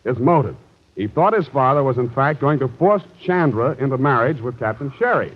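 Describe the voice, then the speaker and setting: An actor playing a cocky, sardonic American private detective in a 1940s radio style, brisk and self-assured. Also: male voice, medium pitch, lively intonation, close to an everyday voice. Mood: brisk, self-satisfied. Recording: muffled vintage radio recording.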